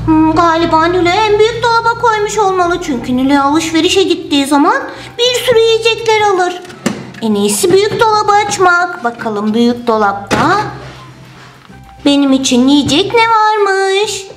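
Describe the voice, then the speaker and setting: A woman voicing a hungry, excitable little turtle in a children's toy story, with childlike exclamations and a greedy manner. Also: extremely high pitch, normal speed. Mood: surprised, eager. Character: hungry, excitable little turtle who loves junk food. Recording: roomy voice over loud music.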